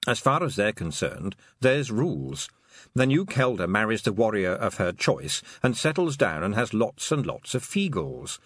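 A man voicing a dry, unhurried male talking toad that speaks slowly and deadpan. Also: fairly low pitch, no echo.

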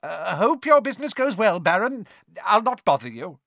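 Cackling, greasy trickster spirit, high and bouncy, read by a man. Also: big pitch swings, normal speed, vocal fry, sharp tone, dry recording, clean studio sound, heavily acted. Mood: greasy fawning. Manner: wheedling, cackling, sing-song. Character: mischievous cackling male poltergeist, greasy and sly.

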